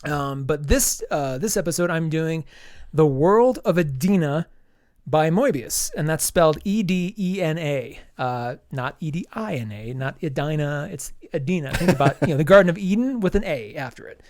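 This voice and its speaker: A man's voice: fairly high pitch, lively intonation, normal speed, darkish tone, dry recording. Stuffy-nosed man rambling about comics. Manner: rambling, many ums and restarts. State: stuffy nose from allergies. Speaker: podcast host reviewing comics. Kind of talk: casual review chat.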